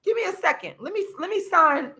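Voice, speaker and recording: A woman in her forties, losing her voice, delivering a heated, repetitive, preachy rant straight to the camera while she sits signing books, sometimes breaking into shouts. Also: very high-pitched, animated, fairly quick. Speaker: vlogger and activist. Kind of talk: impassioned direct-to-camera rant. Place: seated at home signing books.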